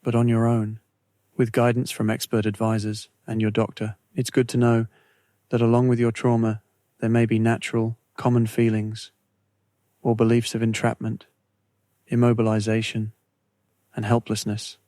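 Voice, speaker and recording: A man narrating a scripted guided meditation in a measured, soothing way, with deliberate phrasing and pauses. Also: mid-range voice, monotone, warm tone, dry recording.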